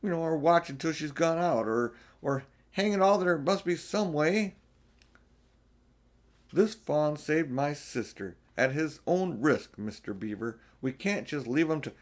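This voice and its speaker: A man voicing a loyal older-brother figure, a boy in a storybook who speaks in an earnest, urgent, halting way. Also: on the high side, animated, pitched above the performer's own voice. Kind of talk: storybook character voice.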